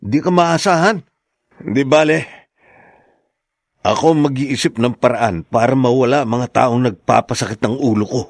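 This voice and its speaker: An actor playing a grumpy, scheming, bossy son-in-law in a radio drama, with a surly, demanding manner. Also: male voice, medium pitch, measured pace, long pauses, no room sound, clean studio sound. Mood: irritated scheming.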